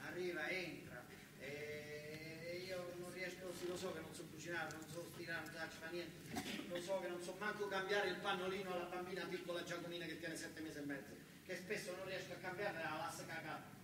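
A man's voice: high-pitched, little pitch movement, moderate pace. A man with a southern Italian accent performing a theatrical monologue as an exasperated, self-deprecating factory worker, talking colloquially and emphatically with repeated words. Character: exasperated male car-factory worker and young father, talkative, self-deprecating. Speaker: stage actor performing a monologue. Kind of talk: theatrical narrative monologue in character. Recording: very echoey, noisy distant stage recording.

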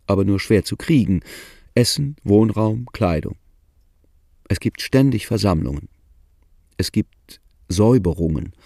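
A man with a low, gravelly voice narrates a radio play in a measured and sober way, letting a dry irony show through. His tone is dark and mellow, and the studio sound is close and dead, with no room echo. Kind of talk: scripted radio drama narration.

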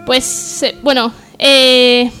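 A woman with a high voice presents her radio section informally. She is earnest and hesitant, often putting herself down, with faint music behind her. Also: level tone, extremely slow, sharp tone. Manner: hesitant, self-deprecating, earnest. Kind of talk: informal spoken radio monologue.

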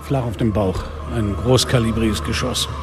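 An actor playing a weary, middle-aged police inspector in a radio drama, speaking and narrating in a dry, caustic manner. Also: male voice, on the low side, steady tone, normal speed, lightly acted. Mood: tense, grim narration. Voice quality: gravelly. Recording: reverberant, loud music behind.

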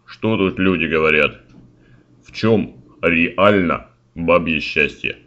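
A man's voice: low-pitched, slow pace, fairly bright tone, highs cut off. A man voicing Ivan-type peasant fool, a slangy boastful lad, in rhymed comic verse for a fairy-tale audio story. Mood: brash. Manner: slangy, colloquial rhymed delivery.

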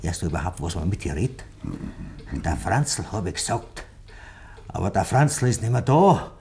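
A needling, explosive Bavarian villager. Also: male voice, low voice, big pitch swings, moderate pace, noisy background. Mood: taunting, needling. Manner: taunting, badgering questions. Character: guilty, bullying village man, needling and explosive. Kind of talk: 1950s dialect radio drama acting.

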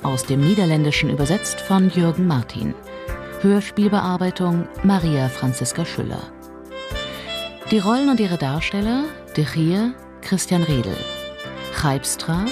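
Woman radio announcer reading scripted credits, really low voice, music clearly playing under it. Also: expressive, measured pace.

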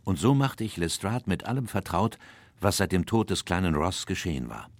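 An actor playing a loyal, worried Victorian gentleman in a German radio crime drama, earnest and measured, with a husky voice. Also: deep voice, sing-song, no room sound, full character voice. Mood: grave, measured. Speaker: narrator and character in detective radio play.

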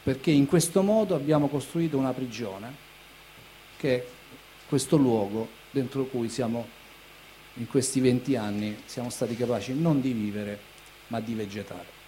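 A man's voice: medium pitch, moderate pace, warm tone. A man giving an impassioned public speech in an emphatic, moralizing way. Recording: noisy recording with ambient sound.

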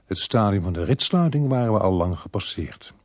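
A man's voice: lowish voice, lively intonation, a little fast, darkish tone, dry recording. An actor playing a weary, sceptical policeman in a Dutch radio play, dry and grumbling, with a Netherlands Dutch accent. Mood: wry, weary. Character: weary, sceptical policeman narrating his own case.

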